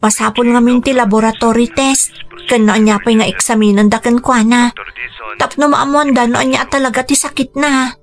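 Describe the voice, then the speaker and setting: A woman's voice: a little high, fairly even intonation, normal speed, natural voice. A woman with an Ilocano accent playing a humble, devoted young grandson in a radio drama, speaking earnestly and respectfully. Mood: reassuring, determined.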